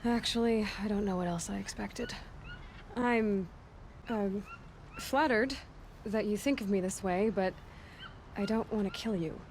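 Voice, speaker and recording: A woman playing a principled young huntress in a video game, speaking in an earnest, measured, frank way. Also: medium pitch, slight background noise, lightly acted. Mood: awkward, gentle. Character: level-headed young female huntress, guarded and principled. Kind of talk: naturalistic video game cutscene acting.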